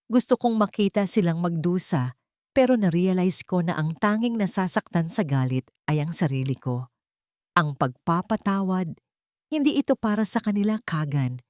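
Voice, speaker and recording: A woman voicing a gentle, forgiving young mother with a soft, tender delivery in a dramatic story. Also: lowish voice, no room sound, clean studio sound, lightly acted.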